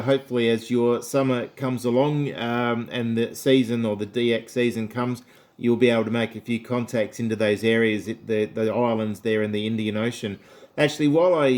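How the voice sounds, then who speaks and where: A man's voice: medium pitch, a little mellow. A man with an Australian accent talking casually and chattily as an amateur radio operator during a contact.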